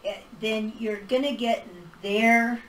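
A middle-aged woman leads a casual Bible class, talking with a handheld mic. Her voice is animated, and she breaks into laughter often. She sounds roomy and distant, as if the camera picked her up from across a small hall. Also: a little high, slow. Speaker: Bible teacher. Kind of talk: casual teaching talk. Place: small church hall with projector screen. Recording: roomy hall recorded from far off.

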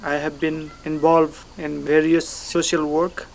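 A man in his forties introducing himself as a guest house owner in a plain, measured documentary interview outdoors. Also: fairly high pitch, little pitch movement, creaky, heavy background noise. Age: 40s. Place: outdoors on a hillside.

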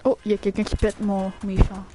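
A woman's voice: medium pitch, completely flat delivery, fairly quick, over music, rough recording. A woman with a Quebec French accent chatting casually while livestreaming a game, slangy and crude in her teasing. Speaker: video game streamer.

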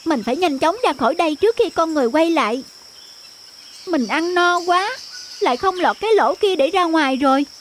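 A woman voicing an eager, greedy, stubborn wild rooster in a children's cartoon, with a self-assured, animated manner. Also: very high-pitched, fairly quick, a little hiss or hum. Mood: worried.

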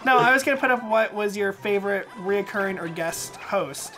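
A man's voice: sits high, moderate pace, bright, forceful tone, a little hiss or hum. A young man chatting casually and jokingly with friends as he hosts a playful let's play. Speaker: gaming channel host.

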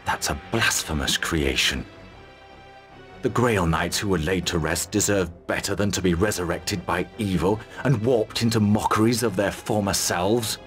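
An actor playing a resolute, grimly determined mercenary army commander in a video game, measured and authoritative. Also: male voice, low-pitched, fairly bright tone. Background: music.

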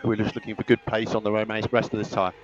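A man speaking as a race engineer over team radio, giving clipped, measured instructions and repeating the key calls. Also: lowish voice, completely flat delivery, lo-fi sound, soft background music. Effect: team radio transmission.

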